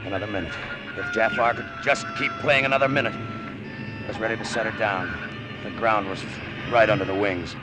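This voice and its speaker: An actor playing a desperate, terrified crooked pilot in an overwrought old-time radio drama style. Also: male voice, fairly low pitch, normal speed, background noise, natural voice. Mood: tense narration. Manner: overwrought, breathless intensity. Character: desperate, terrified crooked pilot telling his own tale.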